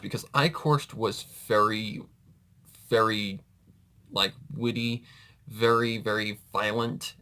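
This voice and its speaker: A man's voice: lowish voice, sharp tone. A man giving casual, off-the-cuff reaction commentary, rambling and often correcting himself. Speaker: reaction video host.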